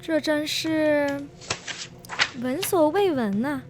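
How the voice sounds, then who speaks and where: A woman's voice: sits high, takes it slowly, background noise. A woman playing a polite, curious interviewer in a radio drama, asking formal questions in Mandarin. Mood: astonished. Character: polite, curious female interviewer, astonished by strange tale.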